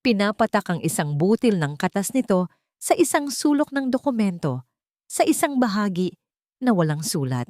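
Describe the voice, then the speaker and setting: A woman's voice: medium pitch, very animated, moderate pace, a little mellow, no echo, clean studio sound. A woman with a Filipino accent narrating a scripted story dramatically, as a storyteller.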